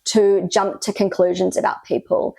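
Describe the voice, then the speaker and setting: A woman's voice: mid-range voice, little pitch movement, moderate pace, vocal fry, darkish tone, no room sound. A woman from New Zealand with a New Zealand accent, talking earnestly to camera as a vlogger, with reflective "you know" asides. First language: English. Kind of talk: casual talk to camera.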